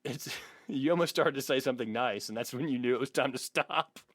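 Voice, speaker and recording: A man's voice: mid-range voice, a little fast, dry recording, studio quality. A man hosting a podcast, mixing jokey mock-formal reading with casual, wry, self-deprecating banter. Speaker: podcast host.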